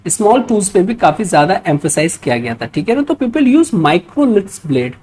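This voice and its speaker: A woman's voice: mid-range voice, very animated, normal speed, a little mellow. A woman with an Indian accent giving an instructional history lecture in mixed Hindi and English, reading out quiz questions and often adding check-in tags. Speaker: history teacher for exam preparation.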